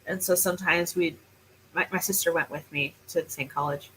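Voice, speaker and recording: A woman's voice: lowish voice, steady tone, fairly quick, bright, forceful tone, poor audio. A young adult woman with a North American accent, chatting casually as a guest on a live stream. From California, United States. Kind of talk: casual chat. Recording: clear background noise.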